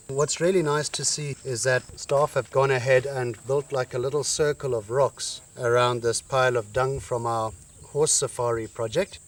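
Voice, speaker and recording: A man explaining the wildlife with enthusiasm, as a guide. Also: medium pitch. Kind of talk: informative explanation. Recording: voice from a distance.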